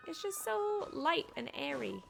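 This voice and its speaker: A woman's voice: high voice, sing-song, measured pace, gravelly. A woman streaming a game, giving casual, playful, reactive live commentary. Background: game music.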